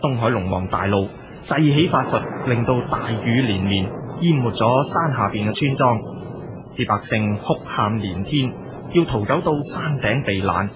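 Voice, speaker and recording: A man's voice: mid-range voice, animated, measured pace, background noise. A man with a Cantonese accent narrating a scripted folk tale as a storyteller.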